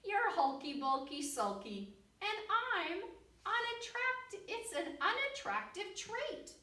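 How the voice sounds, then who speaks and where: A woman voicing a blunt male octopus, high, brash and teasing. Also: big pitch swings, takes it slowly, pushed and bright, full character voice. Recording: echoey room, distant mic.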